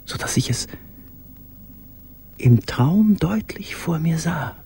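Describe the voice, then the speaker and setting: An actor playing a confined, brooding, tender man who recites letters in a radio drama, hesitant and dreamy, with a breathy half-whisper. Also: on the high side, animated, slow pace, dark tone, full character voice. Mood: dreamy, hushed.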